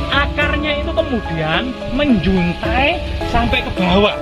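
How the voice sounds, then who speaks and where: A man giving unscripted explanations of an old site as a guide, often repeating his phrases. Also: very high voice. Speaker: host and guide explaining ancient sites. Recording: loud music behind the voice. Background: loud music.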